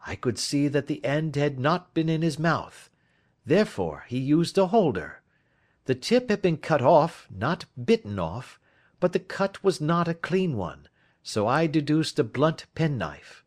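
A calm, authoritative detective from an audiobook, acted by a male narrator. The voice sits raised in pitch, with crisp, deliberate delivery. The manner is precise and gently grave. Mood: analytical. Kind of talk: audiobook character acting.

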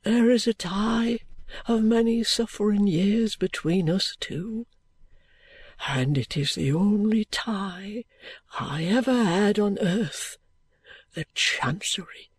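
A woman voicing a sorrowful, worn-out dying man in an audiobook, in solemn, halting, measured phrases. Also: mid-range voice, husky, no room sound. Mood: mournful, weary. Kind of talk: audiobook character acting.

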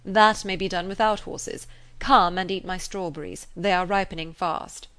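A woman voicing a calm, dry-witted country gentleman who speaks in a measured, firm way. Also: on the low side, clean tone, natural voice. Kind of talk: audiobook character reading.

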